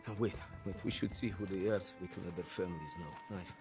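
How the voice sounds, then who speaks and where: A man's voice: low-pitched, fast. An actor playing a protective, practical male Soviet soldier in a war game, speaking with urgency. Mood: wary, low-key. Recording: music under the voice. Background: music.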